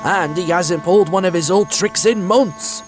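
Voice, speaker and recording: A man's voice: high voice, moderate pace, close to an everyday voice. A man playing a sensible, resigned talking engine in a cartoon dub. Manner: reasoning, resigned. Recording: music playing behind.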